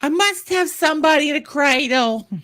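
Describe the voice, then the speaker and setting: A woman's voice: high voice, normal speed, a bit bright. A woman voicing a prim, fussy motherly girl playing house in a storybook reading, simpering and affected. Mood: tart, cosy.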